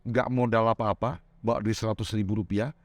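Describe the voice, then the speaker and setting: A man in his thirties from Semarang with a Javanese-tinged Indonesian accent, telling his life story as a podcast guest, animated and laughing often. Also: on the low side, a little fast, dry recording. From Semarang, Central Java, Indonesia. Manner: animated, laughs often, keeps adding "Bro". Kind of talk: casual storytelling interview.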